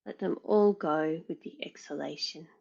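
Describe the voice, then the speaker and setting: A woman yoga teacher leading a guided meditation in a measured, soothing way, pausing between phrases. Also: sits low, creaky, a little mellow, breathy edge, highs cut off.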